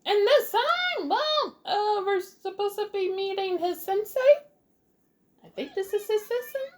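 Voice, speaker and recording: A woman's voice: very high voice, animated, unhurried. A woman giving casual, off-the-cuff gameplay commentary as the host of a let's play.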